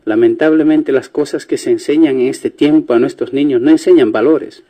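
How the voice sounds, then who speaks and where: A man's voice: mid-range voice, normal speed, dark tone. Earnest Quechua-man from Ayacucho. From Ayacucho, Peru. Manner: earnest, critical. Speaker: studio guest. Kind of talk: radio interview conversation.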